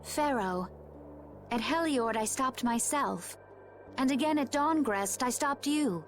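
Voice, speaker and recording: A woman playing a composed, resolute young warrior woman in a video game, speaking in a poised, measured, earnest way. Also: sits high, expressive, a little hiss or hum. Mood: remorseful.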